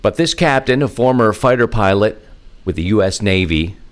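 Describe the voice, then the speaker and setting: Guy podcast host, low voice, chatty solo talk, kinda pauses between phrases. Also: lively intonation.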